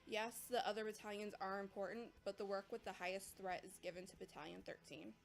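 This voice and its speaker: A woman playing a composed, patient academy instructor, lecturing in a measured, authoritative way as scripted drama. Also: mid-range voice, steady tone, gravelly, soft background music, close to an everyday voice. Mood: instructive, composed. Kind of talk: scripted audio drama dialogue.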